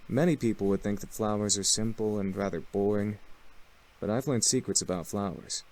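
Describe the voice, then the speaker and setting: Casting: male actor as gentle, formal young incubus butler for a visual novel, low register, soft-spoken. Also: moderate pace, natural voice, poor audio. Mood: courteous, composed. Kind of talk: visual novel character acting.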